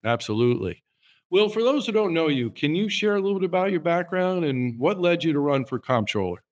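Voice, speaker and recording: A man's voice: fairly high pitch, sing-song, fairly quick. A man hosting an interview, friendly and upbeat as he welcomes and questions his guest. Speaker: interview host.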